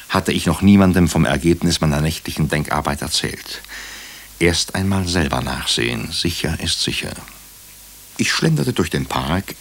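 A very low-voiced, husky male hospital doctor narrates a crime radio play. He is brooding and wry. His phrases come measured and clipped, as if thinking aloud. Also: fairly bright tone, full character voice. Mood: dry narration. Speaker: narrator and lead character. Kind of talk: German radio drama, first-person crime narration.

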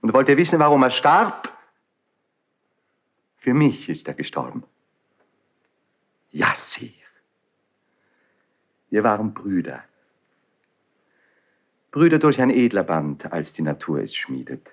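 An actor playing a passionate, defiant young Spanish prince stricken with grief, declaiming classical verse in a 1970s German radio drama. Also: male voice, lowish voice, very animated, slow pace, long pauses, full character voice. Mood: grieving, reproachful. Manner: declamatory, impassioned. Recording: dull 1970s radio studio recording.

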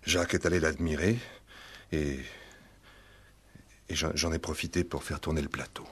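An actor playing a nervous, evasive professional man in a radio play, speaking haltingly and repeating words. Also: rumbling low, steady tone, slightly rough. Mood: halting, confessing. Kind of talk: 1960s French radio play acting.

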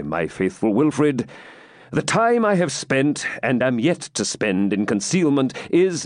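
A man's voice: medium pitch, darkish tone, dry recording, studio quality, pitched above the performer's own voice, lightly acted. A man voicing a proud, hearty warrior king in a dramatic storybook reading, with grand, commanding, archaic speech.

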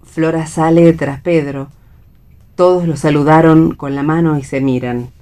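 Low-voiced Argentine woman reading stage directions. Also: fairly even intonation, unhurried, dark tone. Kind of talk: scripted narration of a read play.

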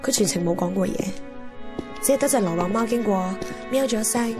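A woman's voice: medium pitch, brisk, natural voice. Woman acting a lovelorn young Hong Kong heroine, music behind. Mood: reflective. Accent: Hong Kong Cantonese. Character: lovelorn young woman narrating her romance, wistful and candid. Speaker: narrator and lead character. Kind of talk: radio drama narration and dialogue.

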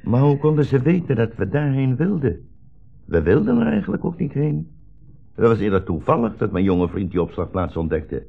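Old blind rabbi in a 60s radio play, deep creaky voice, polite and measured, thin boxy old recording. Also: male voice, sing-song, soft background music, full character voice. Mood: calmly insistent. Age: older. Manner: measured, polite, precise wording. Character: old blind rabbi, mild, patient, dignified war refugee. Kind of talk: 1960s Dutch radio play acting. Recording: muffled old radio recording, narrow band.

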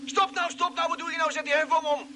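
A jittery spaceship crewman in a Dutch radio play. He chatters fast in a high voice and stumbles over repeated words. The vintage recording is noisy. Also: little pitch movement. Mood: nervous, chatty. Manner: stammering, repeats words when flustered. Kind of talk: Dutch radio drama acting.

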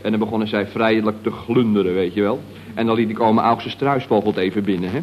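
A man's voice: lowish voice, steady tone, natural voice. An actor playing a cheeky young male employee telling slangy tall tales in a radio comedy. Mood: cheeky, amused. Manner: slangy, drawn-out storytelling with tag words. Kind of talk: radio comedy serial acting. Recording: dull, noisy old radio recording.

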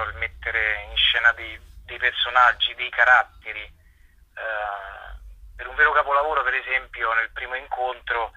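Male, theatre guest, husky, piercingly bright, halting and expressive, lo-fi radio phone sound. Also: medium pitch. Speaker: guest, theatre actor, co-writer and director. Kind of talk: informal promotional interview.

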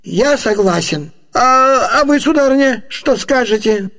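An actor playing a fussy, obliging older gentleman in a comedy radio play, theatrical and conciliatory. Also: very high-pitched, animated, measured pace, full character voice.

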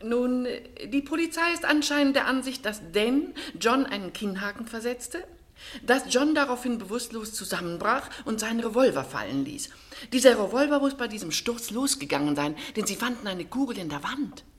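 A woman's voice: on the high side, animated, moderate pace, lightly acted. An actress playing an older upper-class mother in a radio drama, genteel, talkative and slightly arch. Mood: gossipy, explanatory.

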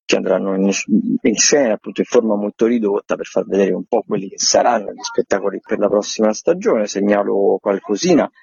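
A man's voice: lowish voice, brisk, gravelly, few pauses, a little mellow, husky, no room sound, highs cut off. A man, a theatre critic on radio, going through event listings in a casual, hesitant, rambling way. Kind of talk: semi-scripted listings rundown on radio.

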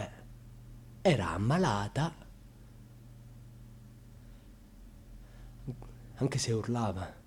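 A wounded, ironic storyteller with a husky, breathy male voice. He delivers a colloquial radio theatre monologue with dramatic intensity, shifting between hushed murmurs and louder, biting emphasis. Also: mid-range voice. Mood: sombre, bitter. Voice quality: husky, often dropping into breathy whispers. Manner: dramatic, swinging from hushed murmurs to loud outbursts. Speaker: actor narrating a dramatic monologue.

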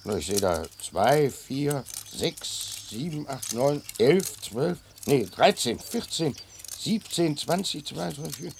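An officious male tax inspector in a radio play. He chats with unctuous politeness and very animated, mid-range pitch. Some ambient noise sits behind him. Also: slow, creaky. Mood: officious, smug. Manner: chatty, unctuous, bureaucratic. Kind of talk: German radio drama acting.

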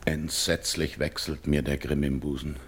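A stern, very deep-voiced king. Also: little pitch movement, a little hiss or hum. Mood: bitter brooding. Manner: declamatory, measured verse delivery. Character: stern, brooding king, wounded, wrathful and commanding. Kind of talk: classical verse radio drama.

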